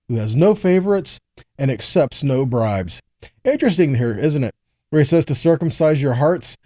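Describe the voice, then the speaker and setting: A man's voice: medium pitch, mellow, dry recording, studio quality. An American man giving a conversational sermon as a Bible teacher, often posing rhetorical questions and checking in with listeners. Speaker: preacher teaching a scripture lesson. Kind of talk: conversational sermon with scripture readings.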